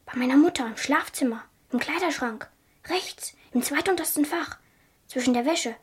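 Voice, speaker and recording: A woman's voice: high-pitched, normal speed, natural voice. A female performer playing an earnest, dutiful young girl in a crime radio play. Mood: earnest, anxious.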